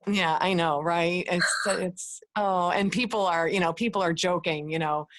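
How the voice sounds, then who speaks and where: A woman's voice: fairly low pitch, normal speed, a bit bright, slight background noise. A woman in her forties or older, chatting casually on a video call, rambling with many restarts and small laughs. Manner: rambling, restarts often, laughs between thoughts. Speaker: participant in a coaching group call.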